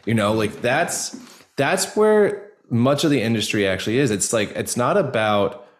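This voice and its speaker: A man speaking casually and informally as an interview guest, in a halting way with many filler 'likes'. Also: medium pitch, lively intonation. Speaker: actor, podcast guest. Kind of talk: casual personal interview talk.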